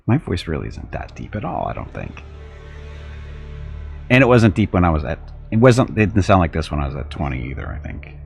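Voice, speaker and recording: A middle-aged man with a deep voice streaming a game, chatting casually and reading on-screen text aloud in a rambling, self-deprecating way. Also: huge pitch swings, a little fast, gravelly, soft background music. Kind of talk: casual let's-play commentary.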